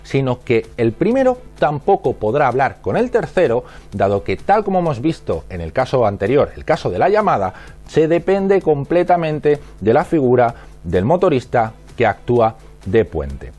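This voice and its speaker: A man's voice: medium pitch, sing-song, normal speed, darkish tone, faint music behind. A man with an accent from Spain reading scripted instructional narration in a methodical, didactic manner. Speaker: narrator of a product explainer.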